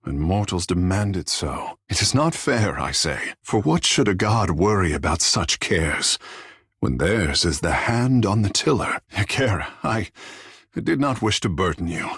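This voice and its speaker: A man's voice: sits low, animated, unhurried, a bit bright, slightly rough, dry recording, clean studio sound, full character voice. An actor playing a proud, playful young godlike fish-man artist in a video game, speaking in lyrical, theatrical, flowery phrases. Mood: wistful, lofty.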